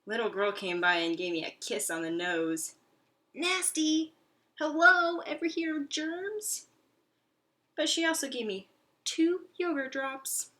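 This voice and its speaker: A woman's voice: high voice, animated, a little slow, sharp tone, voice pushed up, exaggerated voice. A woman voicing a self-satisfied little male pet hamster from a children's storybook, in a boastful, theatrical, exclamatory way. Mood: disgusted. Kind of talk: children's storybook read-aloud.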